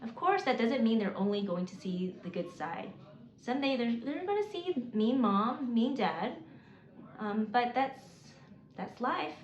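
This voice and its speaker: A woman in her forties, raised in Mississippi, working through a prepared list of reasons in an earnest, measured way with occasional restarts. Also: fairly high pitch, very animated. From South Korea, raised in Mississippi. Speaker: homeschooling mom. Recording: roomy, slightly dull, faint hiss.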